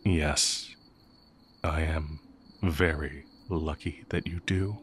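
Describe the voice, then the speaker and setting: Guy acting as super deep slow talking evil magic teacher, tender n sly, romance audio roleplay, bit of background noise w crickets. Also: fairly even intonation, a bit bright, exaggerated voice. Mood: confiding, earnest. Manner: measured, formal, deliberate phrasing. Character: heretic dark mage, male former teacher, tender and sly.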